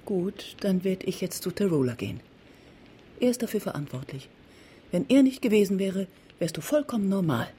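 A woman's voice: mid-range voice, big pitch swings, normal speed, dark tone, slight background noise, natural voice. An actress playing a middle-aged, domineering and suspicious mother in a radio drama, with a cutting, interrogating manner. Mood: bitter, outraged. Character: domineering, suspicious, desperate mother of a grown son.

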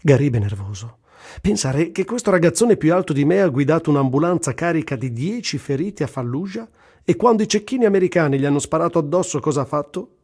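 Actor as mellow-voiced, wry war reporter. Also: male voice, a little high, expressive, fast, husky. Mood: tense, vivid. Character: male war journalist recounting risky trips, wry and indignant. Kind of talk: dramatised diary reading, radio theatre.

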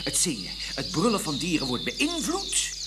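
An actor playing an obsessed, exasperated canary breeder in a Dutch comic radio play, agitated and stammering, swinging between muttering and shouting. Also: male voice, on the high side, huge pitch swings, moderate pace. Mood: earnest, musing. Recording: noisy early 1980s radio play recording.

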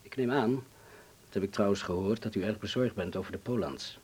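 Grave man in hiding, low husky voice, formal, measured, carefully persuasive, Netherlands Dutch accent, radio drama. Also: darkish tone. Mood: delicate, persuasive.

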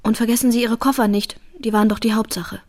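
Radio drama, woman playing young working-class mother, fairly high voice, earnest, tender, principled, plain-spoken. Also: fairly even intonation, quick pace, darkish tone, lightly acted. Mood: quiet, resolute. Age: young adult. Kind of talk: naturalistic radio drama acting.

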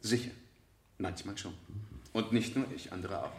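An actor playing a defensive middle-aged man on trial, answering haltingly and guardedly in a German radio play. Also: fairly low pitch, a little mellow, lightly acted. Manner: halting, guarded, plain-spoken witness. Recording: echoey studio courtroom, older radio production.

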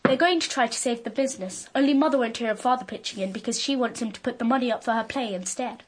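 A woman's voice: on the high side, fast, close to an everyday voice. A woman playing a precocious, bossy young girl in a radio drama, blunt, smug and taunting.

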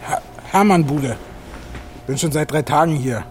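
An actor playing a talkative, stoically cheerful older hospital patient in a radio drama, folksy and sure of himself. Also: male voice, a little high, unhurried, slight background noise, lightly acted. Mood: chatty, upbeat. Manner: garrulous, folksy, self-assured.

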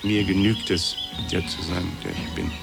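An actor playing a flustered, courtly, aging and portly gentleman adventurer, short of breath, in a German radio play. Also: sits low, steady tone, moderate pace, creaky, over loud music. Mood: indignant, uneasy.

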